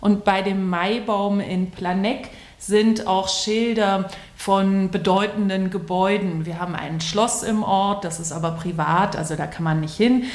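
A woman's voice: medium pitch, steady tone, a little slow, few pauses, a little mellow. A middle-aged woman pastor from Bavaria answers interview questions in fluent standard German, explaining at length. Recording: echoey room.

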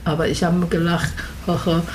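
Chinese-accented woman, halting German. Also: lowish voice, slight background noise. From Taiwan. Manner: broken German, animated, laughs often. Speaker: radio show host, interviewee. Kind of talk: casual interview chat.

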